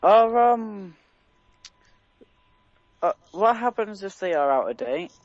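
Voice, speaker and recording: Man putting on a naive, higher-pitched teenage boy caller. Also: expressive, slow, no echo, heavily acted. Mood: naive, curious. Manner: hesitant, naive questioning. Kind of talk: prank phone call. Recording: dull lo-fi phone audio.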